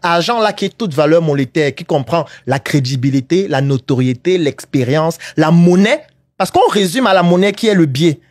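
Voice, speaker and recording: A fairly high-voiced man holds forth on a podcast, pressing his points with one rhetorical question after another. His voice sounds dry and close, with little room around it. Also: lively intonation, moderate pace, dry recording. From Africa. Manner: emphatic, lecturing, rhetorical questions. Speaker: podcast guest, entrepreneurship coach. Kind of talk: animated podcast debate. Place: studio podcast set.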